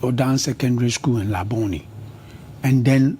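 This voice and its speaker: Middle-aged Ghanaian man, an interview guest with a mellow tone, recorded with a little background noise. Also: mid-range voice, moderate pace. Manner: switches between English and Ga, lists places at an even pace. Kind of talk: conversational interview.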